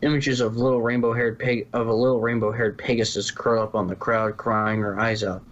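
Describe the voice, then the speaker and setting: A man's voice: on the low side, flat delivery, brisk, fairly bright tone, muffled recording, a little hiss or hum. A man reading scripted story narration, now and then stumbling and rereading a phrase.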